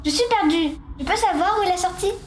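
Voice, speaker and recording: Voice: very high voice, fairly quick, roomy sound, background noise. A young performer playing a lost, outspoken ten-year-old girl in a radio play. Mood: lost, indignant.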